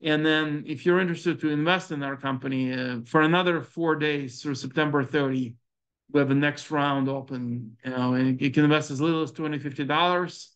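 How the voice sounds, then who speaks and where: Ukrainian-accented man, halting presenter. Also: on the high side, fairly even intonation, fairly bright tone, clear, resonant tone. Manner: halting, many fillers and restarts. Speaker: startup founder presenting. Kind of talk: conference presentation with audience questions.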